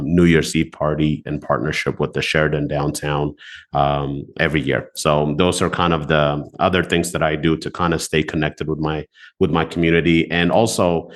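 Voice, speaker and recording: A man from Ethiopia in his thirties, a loan officer answering interview questions in a relaxed way, with many filler pauses. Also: rumbling low.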